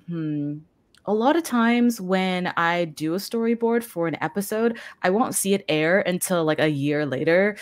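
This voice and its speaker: A woman's voice: sits low, dry recording. A woman storyboard artist explaining animation work casually, with many likes. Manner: casual, says like a lot. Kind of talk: casual explanation on a video call. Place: room full of plush toys.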